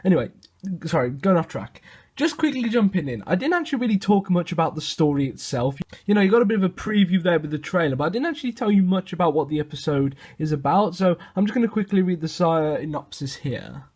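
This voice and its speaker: A man's voice: sits high, big pitch swings, fast, darkish tone. A young man chatting casually to viewers as a vlogger, rambling and interrupting himself. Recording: music behind the voice.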